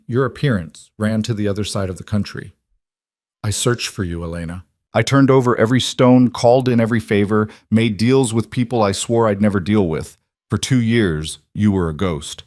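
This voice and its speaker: Man voicing an intense, low-voiced mafia boss. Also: moderate pace, darkish tone, no room sound, clean studio sound, natural voice. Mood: cold fury. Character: cold, intense mafia boss, commanding, wounded fury. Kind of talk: dramatic audiobook dialogue.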